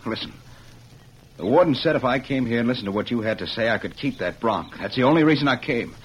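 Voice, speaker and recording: An actor playing a wronged young cowboy ex-convict in a vintage radio western, speaking plainly and earnestly. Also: male voice, mid-range voice, lively intonation, fast, breathy edge. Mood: bitter, earnest. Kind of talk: 1940s-50s radio western acting. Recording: muffled, hissy old radio recording.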